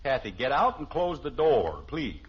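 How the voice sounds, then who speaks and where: A man's voice: mid-range voice, sing-song, moderate pace, natural voice. An American actor playing a blustering, sarcastic middle-aged suburban father in a 1950s radio sitcom. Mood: dry, impatient. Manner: theatrical, sarcastic, fussy. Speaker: lead actor in a family sitcom. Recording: muffled, faintly hissy old radio recording.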